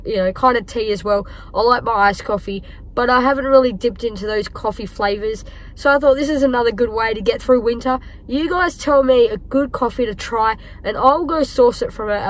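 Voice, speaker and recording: A croaky-voiced Australian woman talks to her followers from the driver's seat, with a fairly high voice. She is chatty and upbeat and fills her talk with ums and uhs. It sounds like a phone held at arm's length in a parked car. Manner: chatty, upbeat, many fillers. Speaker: vlogger. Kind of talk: casual vlog talk to camera.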